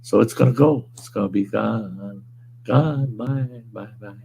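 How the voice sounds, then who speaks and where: A man hosting a casual livestream from home, hoarse with a weakened voice, rambling in a laid-back way and chuckling often. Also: on the low side, unhurried, mellow, slight background noise. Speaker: livestream host. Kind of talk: casual livestream chat reading viewer comments.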